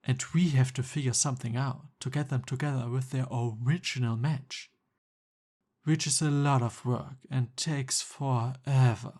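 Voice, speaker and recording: A man's voice: mid-range voice, steady tone, measured pace, a little mellow, close to an everyday voice. A man playing a jealous, exasperated young Cupid best friend in a conversational boyfriend roleplay ASMR. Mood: explaining, wry.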